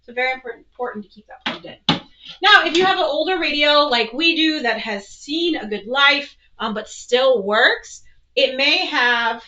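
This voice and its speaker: A woman's voice: high-pitched, animated, normal speed, sharp tone, muffled recording. A woman presenting an instructional product walkthrough, explaining things step by step. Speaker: presenter, weather educator.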